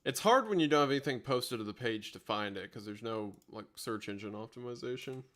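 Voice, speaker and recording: A man's voice: mid-range voice, animated, brisk, fairly bright tone. A man hosting a livestream in casual unscripted chat, rambling and thinking aloud as he multitasks. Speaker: livestream host.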